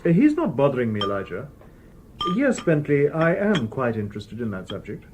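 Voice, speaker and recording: An actor playing a calm, courteous humanlike robot detective in precise, formal speech, in an old radio drama. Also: male voice, medium pitch, a little hiss or hum. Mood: polite, reserved. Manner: formal, precise, measured, unemotional wording.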